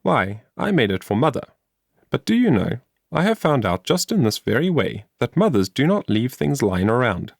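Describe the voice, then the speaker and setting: A man's voice: medium pitch, big pitch swings, normal speed, vocal fry, no echo, studio quality. A man voicing a cheerful, industrious young woman in a storybook, in a chatty, earnest manner. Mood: earnest, musing. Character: cheerful, industrious young woman explaining her homemade invention.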